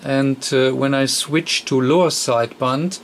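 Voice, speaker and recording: A man who speaks as a walks through a technical repair step by step. His voice sits at an average pitch and moves very slowly, with frequent hesitations and an unscripted, halting flow. A faint layer of noise sits behind him. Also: fairly even intonation. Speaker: radio repair technician demonstrating. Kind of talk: unscripted hands-on repair tutorial.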